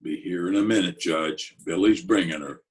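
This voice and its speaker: A man playing a gruff, laconic Broadway big shot with a soft heart, in old-time radio drama style. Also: low-pitched, moderate pace. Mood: brisk. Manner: gruff, laconic street slang. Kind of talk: 1940s radio drama acting.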